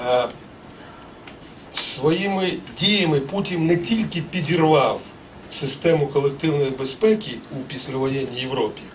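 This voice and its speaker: A man on a discussion panel delivering a formal prepared statement in a measured, official manner. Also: a little high. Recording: distant mic with faint room noise.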